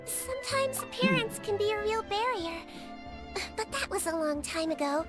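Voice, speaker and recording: A kindly little creature nurse from a game. Her voice is very high, gentle and helpful, with loud music underneath. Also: animated, moderate pace. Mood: gentle, reflective. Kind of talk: video game voice acting.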